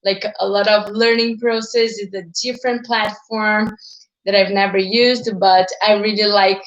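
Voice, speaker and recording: A woman's voice: mid-range voice, little pitch movement, normal speed, roomy sound, lo-fi sound. A woman in her twenties from Brazil, a native Portuguese speaker, talking casually to livestream viewers, with many fillers like "like" and "uh". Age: 20s. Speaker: channel host. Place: at home.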